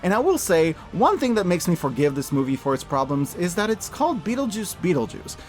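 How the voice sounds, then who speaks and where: High-pitched, animated man reviewing films. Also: brisk, faint music behind. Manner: sarcastic, animated, emphatic. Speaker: video host reviewing films. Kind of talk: opinionated scripted review commentary.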